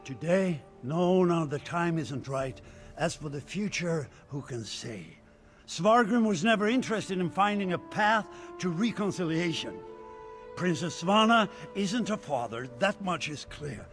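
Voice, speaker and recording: An actor playing an earnest, proud eastern Nord leader who speaks in a reflective way in a video game cutscene. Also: male voice, sits high, animated, unhurried, fairly bright tone, faint music behind, exaggerated voice.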